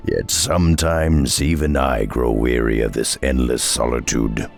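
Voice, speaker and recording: Weary half-orc warrior, extremely low, slow, solemn, gravelly, video game. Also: male voice, steady tone. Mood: weary, bitter. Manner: brooding, solemn, slow-spoken. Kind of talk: video game cutscene acting. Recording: music behind the voice.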